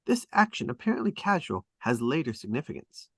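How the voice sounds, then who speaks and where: A man's voice: medium pitch, wildly swooping pitch, fairly quick, creaky, husky, dry recording, studio quality. A man reading stage directions at a drama table read, with emphatic, clipped and dramatic phrasing.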